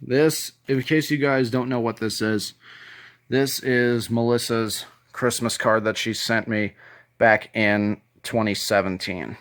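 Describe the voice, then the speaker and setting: A man talking in a deliberate, sentimental way, as in a casual show-and-tell video, sometimes reading aloud. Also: mid-range voice, steady tone, fairly bright tone. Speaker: YouTube video creator.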